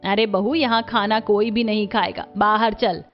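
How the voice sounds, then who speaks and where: A woman's voice: mid-range voice, fairly even intonation, normal speed, natural voice. A woman voicing a doting, hospitable middle-aged mother-in-law in a cartoon. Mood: brisk, kindly. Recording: dull lo-fi track with music.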